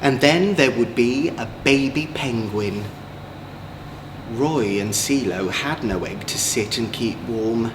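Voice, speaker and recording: A man with an English accent, a drag queen storyteller, reading a children's story in an expressive, gentle way. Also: mid-range voice, slow. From Manchester, England. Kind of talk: children's storybook read-aloud. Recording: echoey room, clear background noise.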